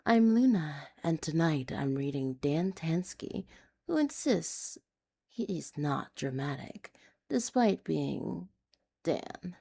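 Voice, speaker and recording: A woman reading a noir urban fantasy story in a hushed, dramatic, deliberate way. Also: deep voice, very animated, gravelly, breathy edge. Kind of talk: scripted noir confessional storytelling.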